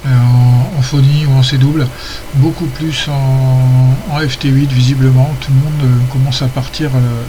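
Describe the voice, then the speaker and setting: A man acts as controller of an amateur radio net. He speaks casually and haltingly at a medium pitch, trading call signs. The recording carries clear radio noise.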